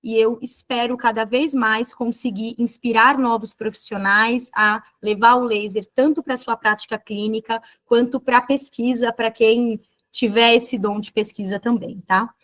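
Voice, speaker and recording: A woman's voice: a little high, steady tone, measured pace, few pauses, a bit bright. A woman with a Brazilian Portuguese accent giving an online lecture as a dentist, explaining fluently and often checking in with tag questions.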